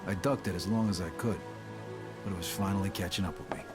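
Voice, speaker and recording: A man voices a weary young mobster in a game cutscene. He speaks low and curt, and turns reflective when narrating. Clear music plays beneath. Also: fast talker, close to an everyday voice. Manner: terse, guarded, reflective in narration. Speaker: protagonist and narrator. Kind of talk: video game cutscene acting.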